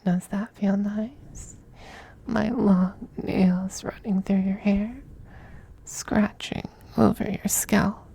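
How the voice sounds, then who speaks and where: Man voicing a soft, high-pitched, slow-talking femboy vampire. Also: level tone, full character voice. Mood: coaxing, doting. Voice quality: soft, breathy, often whispering. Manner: slow, coaxing, condescendingly affectionate. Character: possessive, teasing, doting femboy vampire master styled as mistress. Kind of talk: intimate ASMR roleplay.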